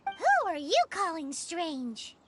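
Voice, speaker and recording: A woman voicing a tiny, excitable, cheeky and naive fairy companion in a video game, with a squeaky childlike voice and exclamatory delivery. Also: slow pace, faint music behind, full character voice. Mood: indignant, wary. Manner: speaks of herself in third person, exclamatory. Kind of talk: video game character acting.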